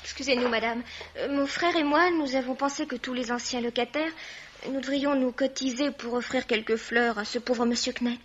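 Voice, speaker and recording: An actress playing a polite, kindly, timid sister in a radio mystery, hesitant and given to exclamations. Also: female voice, sits high, moderate pace, lightly acted. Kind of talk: French radio mystery play acting. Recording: muffled radio recording with faint noise.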